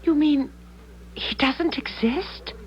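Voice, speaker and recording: An actress playing a curious young gentlewoman, a devoted daughter, in a 1970s radio drama, questioning and full of wonder. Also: high voice, slow pace. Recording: dull lo-fi 1970s radio broadcast.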